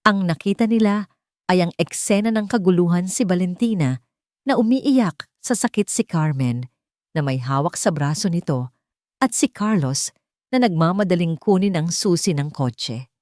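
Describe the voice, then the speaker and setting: Low-voiced woman narrating drama. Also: lively intonation, normal speed, a little mellow, no room sound, clean studio sound. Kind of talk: scripted dramatic story narration.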